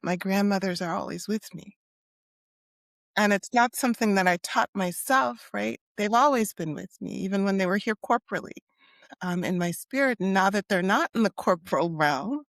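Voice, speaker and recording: A middle-aged woman who grew up in the US with Haitian Creole as her first language speaks slowly and thoughtfully, pausing on soft fillers. Her voice sits in an ordinary middle register. The sound is dry and close, with no room echo. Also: medium pitch. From United States (New York and Miami). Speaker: guest, clinical and community psychologist. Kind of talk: reflective conversational interview.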